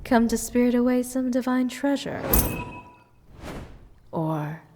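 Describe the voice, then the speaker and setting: A woman's voice: on the high side, expressive, takes it slowly, background noise. A woman playing a playful, selfish goddess in a fantasy audio roleplay, talking down to a little mortal in a teasing, condescending way with whispered phrases. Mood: probing, teasing. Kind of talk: scripted fantasy audio roleplay addressed to the listener. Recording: long hall-like reverb.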